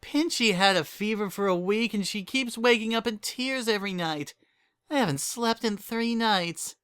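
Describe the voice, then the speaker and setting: A man's voice: high-pitched, moderate pace, a bit bright, smooth, dry recording, studio quality, voice pushed up. A man voicing a scrappy, drowsy, hard-drinking pony mother in a storybook, whiny and belligerent. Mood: weary whining.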